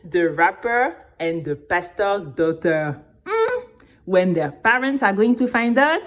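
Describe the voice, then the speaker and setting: Woman playing a gossipy, unhurried teenage girl. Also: mid-range voice, big pitch swings. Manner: confiding, gossipy, playful. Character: chatty, excitable teenage schoolgirl telling her own story. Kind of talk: first-person audio drama storytelling.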